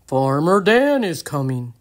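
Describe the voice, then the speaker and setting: A woman voicing a big, gentle, sweet male cow from a storybook in an earnest, soft-hearted manner. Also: sits low, big pitch swings, measured pace. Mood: excited.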